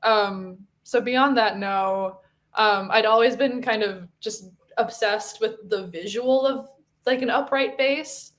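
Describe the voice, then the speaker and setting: A woman from Hong Kong, a podcast guest, chatting casually with many pauses and restarts. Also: medium pitch, fairly bright tone. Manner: hesitant, many fillers and restarts. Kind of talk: casual chat.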